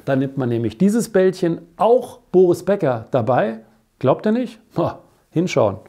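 A man's voice: on the high side, very animated, unhurried, warm tone. A middle-aged man hosting a sports show, chatty, colloquial and jokey with his viewers. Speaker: host of a weekly tennis show. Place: small cluttered basement studio.

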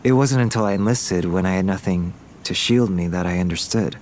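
A man's voice: sits low, a little fast, a little mellow, slight background noise, close to an everyday voice. An actor playing a vulnerable young man back from military service in an audio drama, hesitant and earnest. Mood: tender, reflective. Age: young adult. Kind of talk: naturalistic audio drama acting.

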